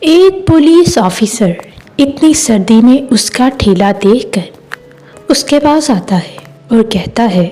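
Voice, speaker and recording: Slow, high-voiced woman telling a story. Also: lively intonation, mellow, poor audio. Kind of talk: scripted story narration. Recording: music behind the voice. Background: background music.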